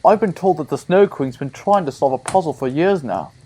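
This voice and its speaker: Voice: mid-range voice, animated, a little fast, mellow, slight background noise, natural voice. A performer playing a kindly, loyal, courteous talking reindeer in an audio play. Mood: helpful. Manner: courteous, pleading.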